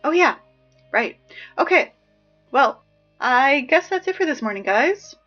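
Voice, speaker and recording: A flustered teenage girl gives casual school announcements in an audio drama. Her voice is high, and she rambles hesitantly and pleadingly. The sound is slightly muffled, with music underneath. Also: sing-song, unhurried, a bit bright, exaggerated voice. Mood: uneasy. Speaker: morning announcements host.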